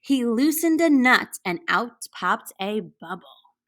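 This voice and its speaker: Female teacher for children's read-aloud. Crisp, bright timbre, unhurried delivery, addresses young listeners directly. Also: a little high, animated. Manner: deliberate, pausing to question young listeners. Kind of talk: read-aloud storybook narration.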